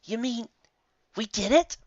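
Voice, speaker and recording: A woman's voice: a little high, measured pace, dry recording, lo-fi sound, pitched above the performer's own voice. A woman voicing an earnest, bookish young female unicorn in a storybook, with a hesitant, explanatory way of talking. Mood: confused, anxious.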